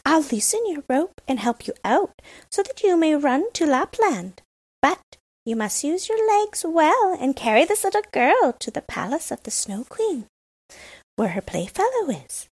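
A woman's voice: high voice, sing-song, a little slow, pitched above the performer's own voice, heavily acted. A woman reading a storybook, voicing a fierce, blunt young robber girl who talks in a bossy way.

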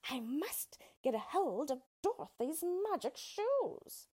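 Wicked witch for a storybook: gloating, greedy, hissing, wildly swooping high voice. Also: sing-song, darkish tone, heavily acted. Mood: scheming. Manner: hissing, shrieking, drawn-out menacing words.

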